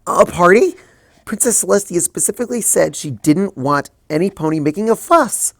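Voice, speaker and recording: Guy reading as a high-strung young unicorn girl, pitched way up, dry close sound. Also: lively intonation, creaky, no room sound. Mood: panicked. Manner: frantic, rushed, high-strung outbursts. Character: anxious flustered young female unicorn scholar. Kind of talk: fanfic audiobook reading.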